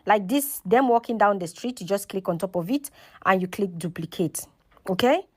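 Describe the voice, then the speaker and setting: A woman's voice: mid-range voice, expressive, normal speed, darkish tone. A woman with a mid-range voice presents a hands-on tutorial in a casual way. She explains one step at a time and keeps checking that listeners follow. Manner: instructional, often checks in with okay and right. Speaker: tutorial host.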